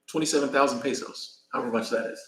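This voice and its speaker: An American man rambling casually on a live stream while traveling in Mexico, pausing to thank viewers by name. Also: mid-range voice, reverberant. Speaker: vlogger.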